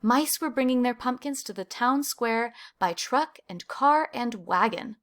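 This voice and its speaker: A woman reads a children's storybook aloud in a fairly high voice. She narrates expressively, leaning on key words for young listeners. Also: animated, a little slow, fairly bright tone. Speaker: storybook reader. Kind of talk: expressive children's picture book read-aloud.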